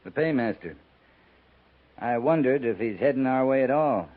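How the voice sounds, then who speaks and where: An actor playing a laconic, plain-spoken cavalry captain in a 1950s radio western, dry and understated. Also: male voice, medium pitch, lively intonation, normal speed, natural voice. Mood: wry. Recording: narrow, faintly noisy 1950s radio recording.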